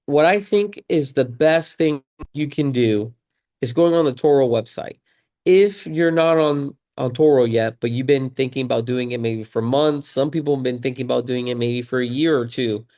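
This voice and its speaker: A man with a slightly hoarse voice from a cold, talking casually to viewers in a tutorial, conversational and repeating key points for emphasis. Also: medium pitch, a little mellow. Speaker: video creator and car rental host.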